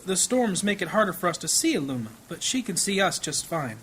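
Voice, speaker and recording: A man acting a gentle, troubled priest and family man in a fantasy audio drama, earnest, measured and devout. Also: high-pitched, very animated, slight background noise, rough recording. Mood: gentle teaching. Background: music.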